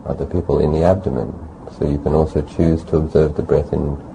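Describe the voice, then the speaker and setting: A man teaching a guided meditation as a monk, speaking calmly in short, measured phrases with pauses. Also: a bass voice, vocal fry, low-quality recording. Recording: faint background noise.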